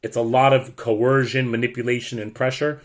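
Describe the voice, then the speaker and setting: A middle-aged American man, a teacher, talking bluntly and with frustration in a loud voice as he warns people away from a job. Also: mid-range voice, little pitch movement, normal speed. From United States. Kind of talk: warning vlog rant.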